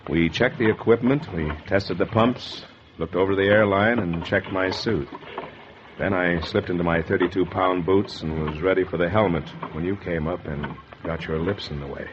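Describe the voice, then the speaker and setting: An actor playing a tough, cool-headed salvage diver in laconic 1940s radio drama style. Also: male voice, deep voice, moderate pace, close to an everyday voice. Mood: brooding narration. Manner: laconic, hard-boiled. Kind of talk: 1940s radio acting, first-person narration and dialogue. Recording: muffled, very noisy 1940s radio recording.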